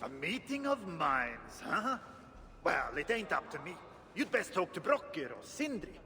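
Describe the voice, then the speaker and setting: A man voicing a gruff, nonchalant dwarf artisan in a video game, colloquial and blunt. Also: fairly high pitch, very animated, unhurried, bright, forceful tone, big-room sound, heavily acted. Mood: brusque. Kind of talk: video game character acting.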